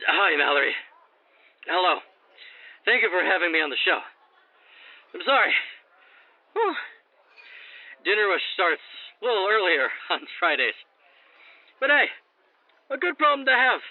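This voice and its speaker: Over a tinny phone line comes a high, slightly husky geek played by a man, an excitable restaurant owner in a radio comedy. He is pedantic and swings eagerly up and down in pitch. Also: measured pace, long pauses, dry recording, exaggerated voice. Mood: harried, friendly. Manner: nerdy, pedantic, enthusiastic. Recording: thin phone-line sound.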